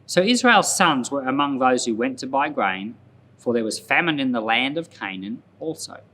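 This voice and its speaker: A man reading scripture aloud as a pastor, narrating in a deliberate, storytelling manner. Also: mid-range voice, animated, bright, forceful tone.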